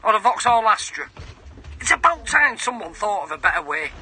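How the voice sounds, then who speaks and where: A man's voice: high-pitched, very animated, a little fast, pushed and bright, a little hiss or hum, full character voice, poor audio. A man playing a fed-up car buyer in a radio advert, questioning and conversational. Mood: puzzled, exasperated. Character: male car buyer fed up with finance guesswork.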